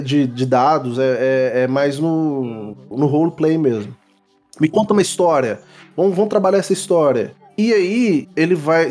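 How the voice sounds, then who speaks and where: A man's voice: a little high, mellow, breathy edge. A Brazilian man speaking as a guest psychologist in a casual podcast, explaining things colloquially with repetition and little check-in questions.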